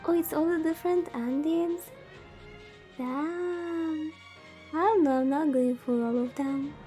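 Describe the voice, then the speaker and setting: A woman's voice: high voice, measured pace, dark tone. A woman chatting casually on a game livestream in a playfully dramatic, lamenting way, as the host. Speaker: VTuber streamer, host. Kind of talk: casual livestream chat while playing a visual novel. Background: background music.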